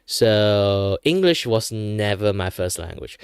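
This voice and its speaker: A man's voice: on the low side, expressive, slow, creaky, smooth, no echo, studio quality. A man in his thirties from Malaysia whose first language is Chinese, chatting casually on a drawing stream with lots of fillers and laughs. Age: 30s. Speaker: streamer and aspiring voice actor.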